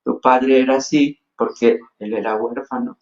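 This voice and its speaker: A man's voice: mid-range voice, fairly even intonation, normal speed, a little mellow, lo-fi sound. A man from Honduras with a Honduran Spanish accent, preaching a sermon earnestly with frequent restarts and fillers. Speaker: pastor.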